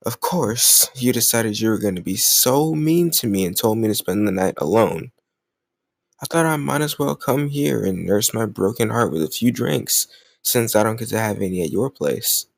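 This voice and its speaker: Man playing a cocky, gravelly flirt. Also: lowish voice, expressive, no echo. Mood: teasing, mock-hurt. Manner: teasing, cajoling, smug, drawn-out words. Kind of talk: intimate romantic audio roleplay.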